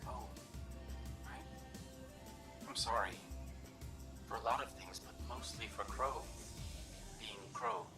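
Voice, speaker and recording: A man's voice: fairly low pitch, takes it slowly, long pauses. A man playing a timid, awkward game companion, guilt-ridden and nervous, with hesitant, stammering speech. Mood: guilty, sad. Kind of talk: game dialogue acting. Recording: distant echoing voice under music.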